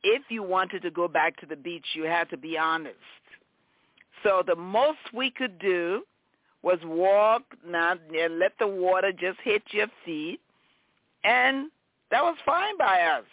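A woman from Liberia with a Liberian accent, telling her story graciously and in a measured way as an interview guest. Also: lowish voice, expressive, dry recording. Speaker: podcast guest, nonprofit director. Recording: narrow phone-like band.

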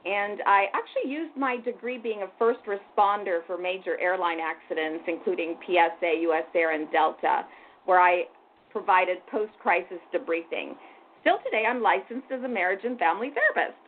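An older woman from Hungary, president of a museum board, introducing herself as a guest on a radio talk show in a composed, measured way. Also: mid-range voice, lively intonation. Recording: tinny phone-like line with faint noise.

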